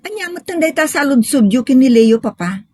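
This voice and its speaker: A woman's voice: high voice, animated, a little fast, a little mellow, husky. A woman playing an insistent, suspicious young bride-to-be daughter in an audio drama. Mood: anxious, pleading. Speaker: drama actor. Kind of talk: Ilocano audio drama acting.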